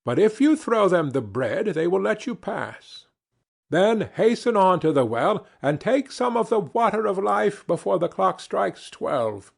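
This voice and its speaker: A man voicing a sly, commanding little ugly dwarf from a storybook, calling out in a deliberate, drawn-out way. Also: high-pitched, big pitch swings, creaky, pitched above the performer's own voice, exaggerated voice. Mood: helpful, instructing. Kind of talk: fairy-tale storybook character voice.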